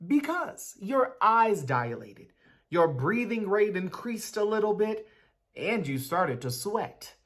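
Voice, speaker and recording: A woman's voice: mid-range voice, big pitch swings, slow. A woman playing a brainy, awkward schoolboy in a dramatic reading, speaking formally and pedantically. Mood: challenging, smug. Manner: pedantic, precise, formal wording.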